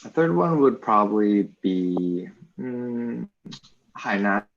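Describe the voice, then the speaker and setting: A man with a Canadian accent giving casual, thoughtful answers as an interview guest, pausing to think as he goes. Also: on the low side, lively intonation, gravelly. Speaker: interview guest, teacher community lead. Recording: dull, lo-fi call audio.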